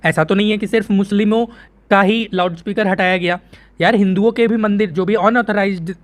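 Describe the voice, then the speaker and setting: A man's voice: high-pitched, fairly even intonation, fairly quick. An Indian man presenting an informal news explainer, conversational, repeating phrases for emphasis and answering his own questions.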